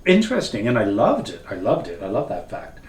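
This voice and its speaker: A man's voice: on the low side, huge pitch swings, brisk. A middle-aged man from northern Ontario whose first language is French, co-hosting a casual chat and explaining things thoughtfully. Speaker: co-host. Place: wood-panelled cabin room, seated at table. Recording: roomy, distant, faint hiss.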